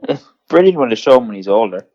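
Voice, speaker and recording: A man's voice: medium pitch, very animated, quick pace, lo-fi sound. A man co-hosting a podcast in casual, informal chat. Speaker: podcast co-host.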